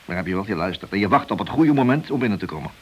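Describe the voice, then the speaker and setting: Low-voiced, slyly affable police detective. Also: male voice, lively intonation, rapid-fire, a bit bright, husky, muffled recording. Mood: calm, commanding. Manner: slyly affable, leisurely, needling. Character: wily senior police detective, feigning friendliness while cornering suspects. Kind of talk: radio play acting. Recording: hissy old radio play recording.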